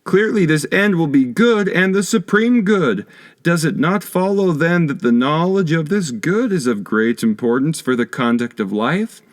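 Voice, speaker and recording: Guy reading an old philosophy book aloud, formal and deliberate, pitch swings up and down a lot. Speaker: audiobook reader. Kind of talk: scripted reading of a philosophy text.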